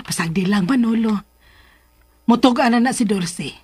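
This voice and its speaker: A woman playing a concerned, patient middle-aged mother with a husky voice in a Filipino radio drama. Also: fairly high pitch, moderate pace, darkish tone, no echo.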